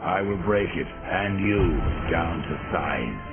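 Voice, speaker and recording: A man playing a grim, relentless horseman of Death in a video game, terse and scornful, with a deep gravelly voice. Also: lively intonation, slow, heavily acted. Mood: scornful, menacing. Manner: curt, menacing. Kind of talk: dramatic video game acting. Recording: muffled, echoing, music underneath.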